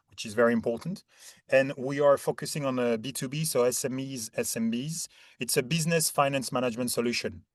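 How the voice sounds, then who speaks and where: A man's voice: mid-range voice, no echo. A man in his thirties from Paris with a French accent, explaining conversationally as an interview guest, often repeating words as he searches for phrasing. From Paris, France. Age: 30s. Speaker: interview guest, head of talent acquisition.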